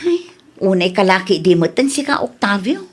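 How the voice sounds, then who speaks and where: A woman's voice: medium pitch, big pitch swings, normal speed, soft background music, lightly acted. A Philippine-accented woman playing a defensive, evasive married woman accused of petty theft in a comedy radio drama. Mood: scolding, fretful.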